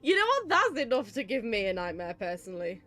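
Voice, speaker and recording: High, animated woman reacting to a game. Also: a little fast. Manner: playful, self-narrating, exclamatory. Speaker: game streamer. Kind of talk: casual live reaction commentary over a video game. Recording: game music playing behind the voice. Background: game music.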